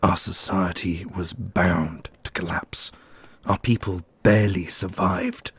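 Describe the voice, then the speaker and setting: A man playing a brooding, commanding immortal prince in an ASMR fantasy roleplay, speaking gravely and deliberately with a gravelly, husky voice. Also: sits low, steady tone, fairly bright tone, heavily acted. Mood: solemn.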